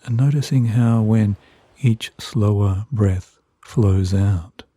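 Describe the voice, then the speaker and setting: A man narrating guided sleep hypnosis in a soothing, hushed, measured way with long pauses between phrases. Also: fairly low pitch, no echo.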